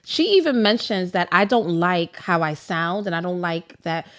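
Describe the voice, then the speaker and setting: A woman's voice: on the low side, moderate pace, fairly bright tone. A woman co-hosting a podcast, supportive, agreeing often and asking questions in casual chat. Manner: supportive, frequent agreeing interjections. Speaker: podcast co-host.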